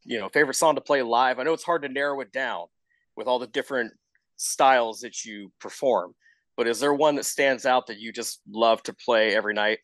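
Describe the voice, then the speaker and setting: A man's voice: mid-range voice, a little fast, fairly bright tone, no room sound. A man hosting a casual interview, informal and encouraging as he talks. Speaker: show host, interviewer.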